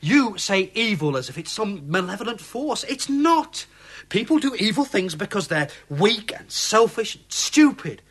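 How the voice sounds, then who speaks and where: An actor playing a skeptical police inspector in a radio play, clipped and dismissive. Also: male voice, sits high, very animated, unhurried, fairly bright tone, husky, full character voice. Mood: heated. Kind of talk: radio drama acting.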